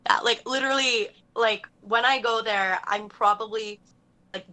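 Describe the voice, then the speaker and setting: A woman's voice: on the high side, fairly even intonation, normal speed, pushed and bright. A bubbly young woman cosplayer chatting on a podcast, gushing and filling every sentence with "like". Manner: bubbly, gushing, fills speech with "like". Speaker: cosplayer guest. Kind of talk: casual podcast chat.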